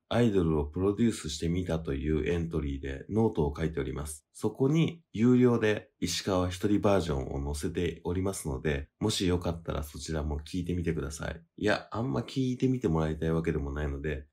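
A man with a very deep voice hosts a podcast. He speaks in a casual, conversational way as he explains things and makes announcements to listeners. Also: darkish tone. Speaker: podcast host.

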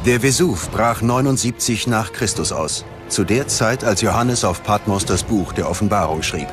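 A man's voice: on the low side, lively intonation, moderate pace. A man reading scripted documentary narration in a dramatic, ominous manner. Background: dramatic music.

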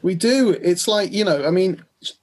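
Middle aged podcast guy, raspy voice, casual chat on a video call. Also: high-pitched, expressive, normal speed. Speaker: podcast host. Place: indoors, home room.

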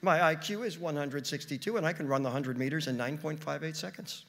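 A man's voice: fairly high pitch, fairly quick, fairly bright tone, pitched above the performer's own voice. A man voicing an eager, precocious teenage girl who pleads earnestly in a raised voice. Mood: earnest pleading.

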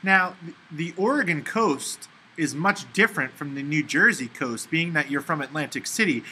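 A man interviewing university representatives and repeating the questions briskly. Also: on the high side, expressive, pushed and bright, a little hiss or hum, rough recording.

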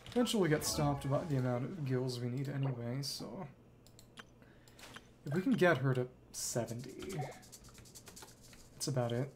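A man's voice: mid-range voice, normal speed, pauses often, noisy background. A man streaming a video game, giving casual live commentary in short fragments, muttered to himself.

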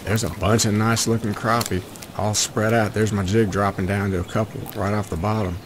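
A man's voice: lowish voice, flat delivery, a little fast. A middle-aged man giving enthusiastic, folksy running commentary while fishing, in a casual way. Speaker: fishing vlogger. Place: outdoors on a small open boat on a lake. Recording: clear outdoor wind and boat noise.

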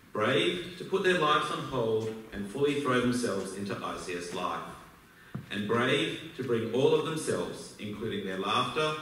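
An Australian man in his thirties delivers a formal, measured address from a podium. His voice is bright and carries through a large, heavily echoing auditorium, picked up from the back of the hall with faint ambient noise. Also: fairly low pitch, far from the mic. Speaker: alumni speaker. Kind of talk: prepared speech at an event. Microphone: podium mic, filmed from far back in the hall. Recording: echoey hall recorded from afar.

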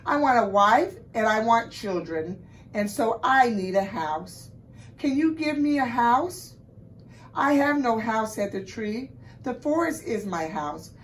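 A woman reading a children's storybook aloud expressively, recorded from a little distance. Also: medium pitch. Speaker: elementary school staff reader. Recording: slightly distant mic, faint noise.

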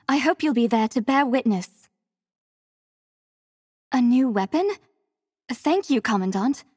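Poised, higher-voiced female android captain. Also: darkish tone, no room sound, clean studio sound. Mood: composed, courteous. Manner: formal, polite, earnest. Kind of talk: scripted video game character lines.

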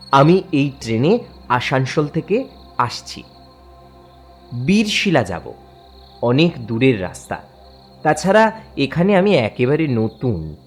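A man playing a polite, hesitant city traveller stranded at night in a horror cartoon, with halting, stammering speech. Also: medium pitch. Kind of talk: Bengali horror cartoon storytelling.

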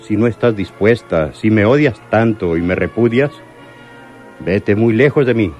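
An actor playing a menacing, lovesick crime boss in a radio drama, speaking deliberately and bitterly. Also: male voice, lowish voice, lively intonation, darkish tone, dull audio, faint music behind. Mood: stern, pleading. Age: older. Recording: noisy old radio drama recording.

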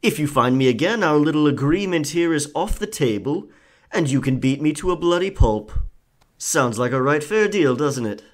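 A man's voice: on the high side, lively intonation, normal speed, natural voice. A man playing a cocky, sly pirate rogue in an audio roleplay, with theatrical, taunting and charming delivery. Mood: bold, bargaining. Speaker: ASMR roleplay performer. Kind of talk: pirate ASMR roleplay acting.

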